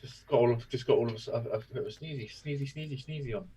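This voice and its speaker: A man joining a casual chat, quick and sweary, laughing as he talks. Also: mid-range voice, mellow, slight background noise, poor audio.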